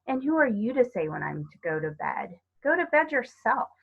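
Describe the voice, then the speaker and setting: A woman's voice: a little high, sing-song, moderate pace, gravelly, phone-quality sound, voice pushed up, heavily acted. Woman reading as a grumpy, snappish young schoolboy. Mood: bad-tempered. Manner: snappish, grumbling.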